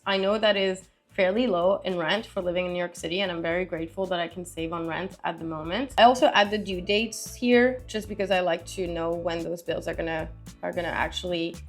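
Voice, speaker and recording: A young woman with a slightly hoarse, raspy voice after being sick, chatting casually and upbeat to camera from home, with many fillers. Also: lowish voice. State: slightly hoarse from recent illness. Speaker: personal finance vlogger. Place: at home, seated with laptop and tea.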